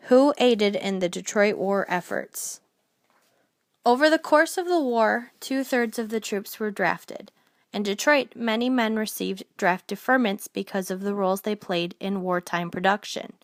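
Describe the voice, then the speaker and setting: A woman's voice: medium pitch, no echo, clean studio sound. A woman narrating a scripted documentary, reading aloud and now and then stumbling over a word.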